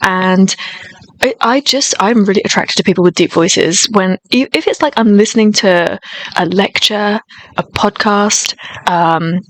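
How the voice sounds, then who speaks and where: A woman with a high voice chatting casually and gushing enthusiastically while reacting to a music video. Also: a little slow, no echo, low-quality recording.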